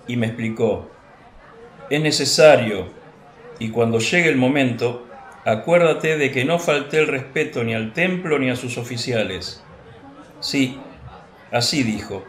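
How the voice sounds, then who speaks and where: A man voicing a proud disciple who sounds defensive in a radio play. His mid-pitched voice pushes hard and borders on arrogant, with a roomy echo around it. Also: animated, a little slow, pauses often, slight background noise, close to an everyday voice. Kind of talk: radio drama acting. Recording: echoey room.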